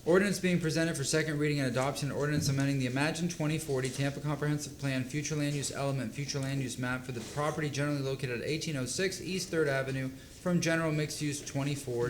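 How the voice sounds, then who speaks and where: A city councilman reading a formal ordinance aloud in rapid recitation. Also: mid-range voice, fairly even intonation, a little hiss or hum.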